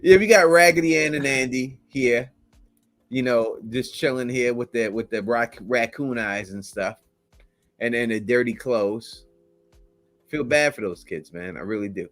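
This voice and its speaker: A man's voice: medium pitch, a little slow, a bit bright, no echo. A man co-hosting a casual podcast, talking emphatically and repeating himself as he pushes his theories. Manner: emphatic, repetitive, insistent theorizing. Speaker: co-host breaking down a trailer.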